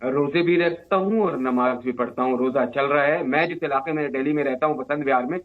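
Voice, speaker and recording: A man's voice: mid-range voice, brisk. A man from India speaking as a party spokesperson in a debate, explaining his position at length over a narrow feed. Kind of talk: unscripted explanatory debate talk.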